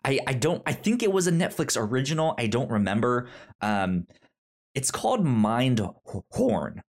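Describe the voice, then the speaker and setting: A man hosting a casual podcast, speaking in a halting way and often repeating the starts of words. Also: medium pitch, big pitch swings. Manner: halting, repeats word beginnings. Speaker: podcast host.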